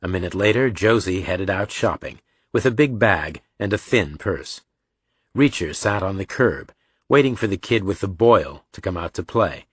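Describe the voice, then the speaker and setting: A man narrates an audiobook in a fairly low voice. The sound is dry and close to the microphone, with no room around it. He delivers scripted storytelling. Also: expressive, moderate pace, slightly rough, no echo.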